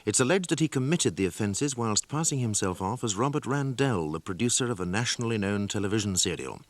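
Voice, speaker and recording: An actor as a sober male narrator for a courtroom drama. The voice is fairly low and recorded very dry, with expressive rises and falls. The reading is formal, measured and factual. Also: no room sound, lightly acted.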